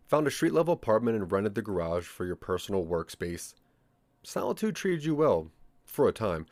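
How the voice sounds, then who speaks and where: A man's voice: on the low side, no echo. A man narrating a scripted audio drama in the second person, with a dramatic storytelling manner.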